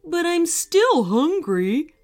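A woman voicing a big, gentle, hungry female elephant in a children's story. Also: very high-pitched, sing-song, takes it slowly, voice pushed up, heavily acted. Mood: hungry, plaintive.

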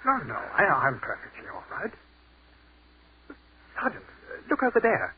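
An actor playing an earnest army captain who has died, speaking urgently in 1940s radio-drama style. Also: male voice, high-pitched, wildly swooping pitch, measured pace, slightly rough, exaggerated voice. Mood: wondering. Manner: urgent and stammering, then rapturous. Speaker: lead actor. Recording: tinny old radio broadcast with hiss.

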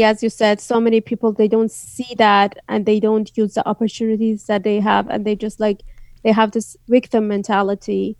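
A woman's voice: a little high, darkish tone, dry recording. A woman from northwest Iran whose first language is Azeri, speaking English with an Iranian accent as a podcast guest, hesitant with many fillers and restarts. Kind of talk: casual interview chat.

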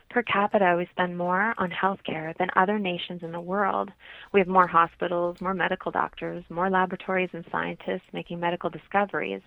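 A woman from Canada with a creaky, slightly low voice gives explanatory answers as a health practitioner being interviewed. The recording is a little dull and lo-fi, like modest radio interview audio. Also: no room sound.

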